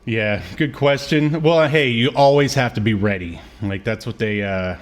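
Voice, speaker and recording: A man co-hosting a casual review podcast, chatting in a hesitant, halting way. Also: medium pitch. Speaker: podcast co-host.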